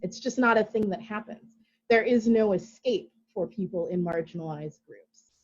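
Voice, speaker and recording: A woman's voice: medium pitch, moderate pace, breathy edge, highs cut off. A woman speaking as a round table panelist, making her point emphatically and persuasively. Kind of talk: panel discussion.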